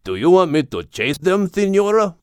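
A man voicing an eager, excitable and deferential bull in an audiobook. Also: high voice, normal speed, clear, resonant tone, no room sound, studio quality, pitched above the performer's own voice. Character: eager, excitable male bull with Latin flair. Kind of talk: audiobook character acting.

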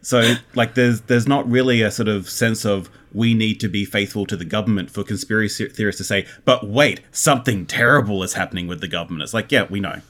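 Australian male interviewee, slightly low voice, explanatory, casual podcast chat. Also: brisk, hardly any pauses, fairly bright tone. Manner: explanatory, conversational. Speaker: interviewed fiction podcast creator.

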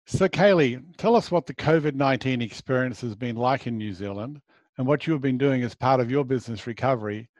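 A man's voice: mid-range voice, a little mellow, no echo. A man with an Australian accent hosting a travel show, reading a scripted intro and then chatting with enthusiasm. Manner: enthusiastic, affirming.

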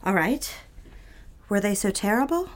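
Casting: female actor for soft-spoken young office woman, mid-range voice, nervous yet coy, period romantic audio drama. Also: medium pitch, expressive, unhurried, close to an everyday voice. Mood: polite, tentative.

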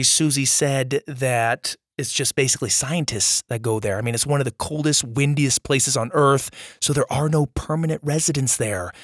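A man hosting a children's story podcast, hoarse from losing his voice, chatting in an upbeat, friendly way to young listeners. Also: mid-range voice, no room sound, clean studio sound. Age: adult with young children. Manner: upbeat and friendly, addressing kids directly. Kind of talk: casual outro chat to young listeners.

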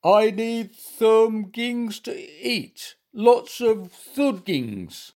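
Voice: mid-range voice, expressive, takes it slowly, creaky, darkish tone, pitched above the performer's own voice. A storyteller voicing a sulky little toy bear in a children's story, muddling words as it whines and groans. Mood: groaning, pitiful. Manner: pretends illness, groaning spoonerisms.

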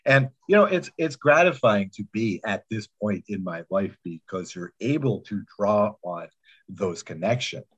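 A man's voice: on the low side, expressive, unhurried, slightly rough, dull audio. A man speaking as a podcast producer in a casual interview, with an emphatic, rambling storytelling manner. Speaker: podcast producer and sound editor. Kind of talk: animated casual interview chat.

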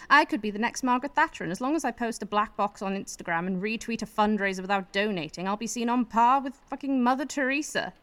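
A woman plays a scheming, ambitious politician's wife in a radio drama. Her voice is high and swings freely as she grumbles and plots with bitter, sweary sarcasm. The sound is close and dry. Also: expressive, fast, a bit bright, dry recording. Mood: scheming, sardonic. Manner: sardonic, exasperated, sweary. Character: scheming, bitter, foul-mouthed female politician, prime minister's ambitious wife. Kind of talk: radio play acting, monologue.

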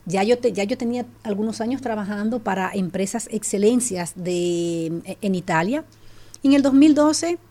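A middle-aged Dominican woman with a Dominican Spanish accent, telling her story as a guest in an animated, casual way with frequent restarts. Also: mid-range voice. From Dominican Republic. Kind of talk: casual chat.

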